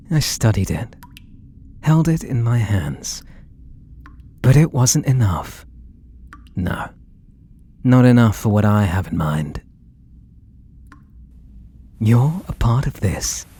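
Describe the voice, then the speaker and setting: A British man voicing an obsessed, possessive artist and menacingly tender lover in a breathy, theatrical ASMR roleplay, drifting into whispers. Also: on the low side, lively intonation, slow, long pauses, warm tone, exaggerated voice. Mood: possessive, intimate. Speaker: audio roleplay voice actor.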